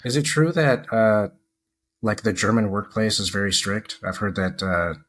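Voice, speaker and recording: Chatty, rather low-voiced male host. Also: moderate pace, fairly bright tone. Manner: chatty, laughs, stumbles and repeats words. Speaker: podcast co-host. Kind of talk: casual podcast chat.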